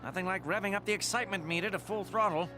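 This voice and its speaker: An actor playing a sarcastic, wisecracking adult brother. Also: male voice, sits high, quick pace, music in the background, lightly acted. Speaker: adventurer's brother. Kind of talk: animated series acting.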